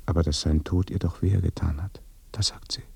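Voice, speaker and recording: A confiding village man in a radio drama. His voice is very low and dark, thick with gravel. He speaks in a husky, breathy way. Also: steady tone, a little fast, full character voice. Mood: hushed, intimate.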